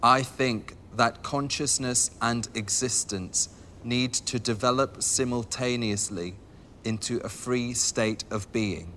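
Philosophical ex-activist, played by a man, film dialogue, mid pitch, slow and deliberate, reverberant with faint rumble. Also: sharp tone. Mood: pondering. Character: male former activist of the post-war generation, pondering freedom. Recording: long reverb, rumbling background noise.

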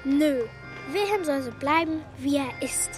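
Pushy cheeky little orphan girl, super high voice, kids radio play with music behind. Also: a little slow. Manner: pleading, bold, childlike. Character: lively, cheeky orphan girl, eager and insistent. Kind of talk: children's radio play acting.

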